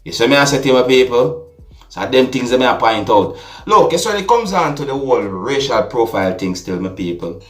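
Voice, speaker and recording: An echoey recording with music behind a Jamaican man's voice at a medium pitch. He gives deliberate opinion commentary as a vlog host, often turning to address his viewers directly. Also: big pitch swings. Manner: deliberate, repeatedly addresses listeners with rhetorical asides.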